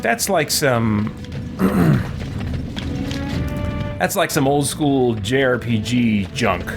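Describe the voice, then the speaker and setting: A man's voice: mid-range voice, expressive, drawn-out words, gravelly, loud music behind the voice. A man chatting casually on a game stream, thinking aloud with mild exasperation. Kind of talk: casual, muttering live stream chat.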